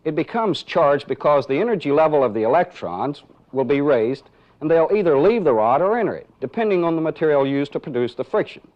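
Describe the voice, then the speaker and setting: A man's voice: fairly high pitch, animated, a little fast. Dull-sounding archival male training film instructor. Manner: clear, methodical explaining with rhetorical questions. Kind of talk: instructional narration with live demonstration. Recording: dull, band-limited 1980s training film audio.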